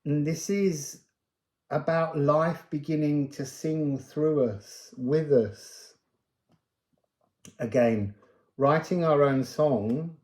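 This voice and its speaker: A man giving an unscripted spiritual card reading, musing aloud with pauses and emphatic phrases. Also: on the high side, a little mellow, roomy sound. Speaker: card reader and spiritual guide.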